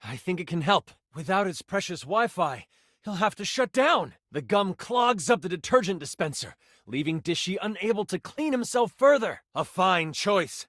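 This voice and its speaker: An actor playing an excitable, theatrical nerdy game master in a video game, moving between grand narration and casual asides. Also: male voice, high-pitched, dry recording, clean studio sound. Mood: narrating. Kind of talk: video game character voice lines, dramatic tabletop narration.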